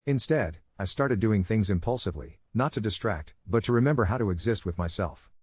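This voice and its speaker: A man with a fairly low voice reads an online story aloud at a quick clip. His delivery is brisk and even, as he narrates a first-person account. The sound is dry and close, with no room around it. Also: breathy edge, no echo.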